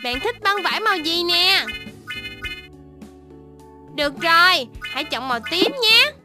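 A woman's voice: extremely high pitch, animated, a little slow, music in the background. A woman voicing a cheerful, caring little shark doctor in a children's cartoon, encouraging and exclamatory as she talks to young viewers. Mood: cheerful, reassuring.

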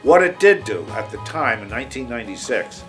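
A man's voice: mid-range voice, big pitch swings, brisk, a bit bright. A man in his sixties, a radio industry veteran, giving a plain-spoken documentary interview. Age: 60s. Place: indoors, office. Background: music.

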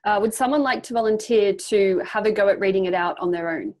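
A woman's voice: medium pitch, brisk, darkish tone. A young woman on a video call, coaching a group in an encouraging way and reading a prepared text aloud. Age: young adult. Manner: encouraging, affirming. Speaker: facilitator leading a group practice exercise. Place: small indoor room, on a group video call. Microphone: computer microphone on a video call.

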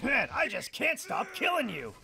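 Manic, high-pitched shouting mercenary. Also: male voice, huge pitch swings, normal speed, creaky, exaggerated voice. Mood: gleefully taunting. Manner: loud, shouting taunts and pained cries. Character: cocky, manic male mercenary shooter character, gleefully violent. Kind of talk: video game combat barks. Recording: game audio with music and gunfire behind. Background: game music, gunshots.

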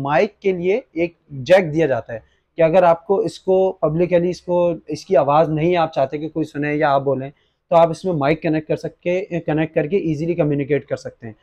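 Young Pakistani man, slightly high-pitched, explaining. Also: a little mellow, dull audio. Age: young adult. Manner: explanatory, mixes in English words. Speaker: presenter for an online gadget shop. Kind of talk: unboxing and product demonstration. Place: small indoor gadget shop studio at a desk.

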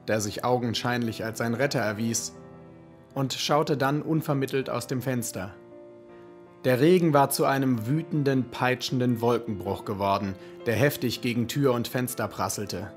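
A man narrating a radio play as a scripted, literary story in a measured, dramatic manner. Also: medium pitch. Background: music.

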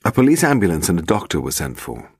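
British man, low-pitched, expressive intonation, scripted novel narration, dry studio sound. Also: fast talker, gravelly, slightly rough, no echo. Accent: British English. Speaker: audiobook narrator.

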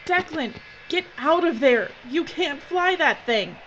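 A woman's voice: high-pitched, lively intonation, slow pace, a bit bright, muffled recording, slight background noise, exaggerated voice. An actress playing a resigned but defiant woman filmmaker facing death in an earnest radio horror drama. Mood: urgent pleading.

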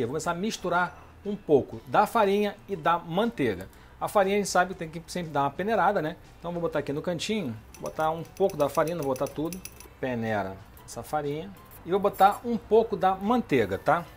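A middle-aged man talks viewers through a recipe in his home kitchen, chatty and easygoing. His voice sits a little high for a man. Soft music plays underneath him. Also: animated, quick pace. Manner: chatty, keeps asking "beleza?" and "tá?". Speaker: cooking show host. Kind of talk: casual home cooking demonstration.

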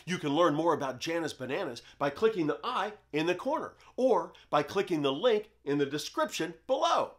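A man's voice: fairly high pitch, sing-song, moderate pace, hardly any pauses. A middle-aged man who hosts a children's show, presenting and reading stories aloud for kids.

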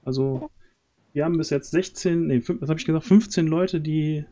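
A man's voice: a little high, moderate pace, warm tone. A man, chatting casually as host of a livestream, often searching for words. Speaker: stream host and audio drama organiser. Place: small room at home, on a group video call.

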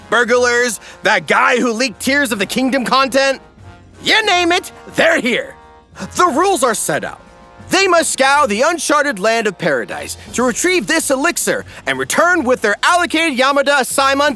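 High-pitched, animated male comedy narrator. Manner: animated, tongue-in-cheek. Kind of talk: scripted comedic parody narration.

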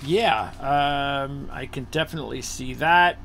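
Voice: medium pitch, unhurried, creaky, a bit bright, slight background noise. A host leading a casual racing betting preview over a video call, thinking aloud with fillers while scanning a list.

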